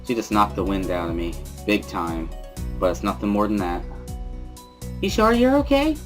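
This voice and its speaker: Low-voiced man narrating a story. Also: level tone, fairly quick, fairly bright tone, soft background music. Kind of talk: dramatic first-person visual novel reading.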